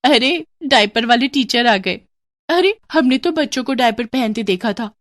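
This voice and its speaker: A woman voicing an indignant, mocking young schoolgirl in a cartoon story, with an animated, complaining manner. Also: fairly high pitch, moderate pace, voice pushed up. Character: indignant, mocking young schoolgirls plotting against their teacher. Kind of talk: cartoon moral story dialogue.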